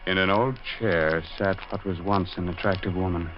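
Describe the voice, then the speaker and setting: An actor playing a thoughtful amateur sleuth, theorizing and narrating in 1940s radio mystery style on a dull old recording. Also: male voice, low-pitched, steady tone, moderate pace, faint music behind, natural voice. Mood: ominous.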